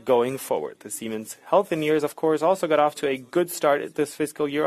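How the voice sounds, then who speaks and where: A man delivering a formal, scripted business presentation in a measured way, as a corporate executive reporting results. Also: a little high.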